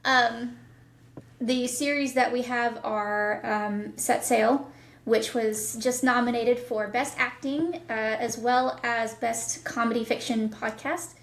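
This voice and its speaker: Higher-voiced woman in an echoey room. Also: steady tone, slow. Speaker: guest, audio drama producer and voice actor. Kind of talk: casual podcast interview chat. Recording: roomy, echoing sound.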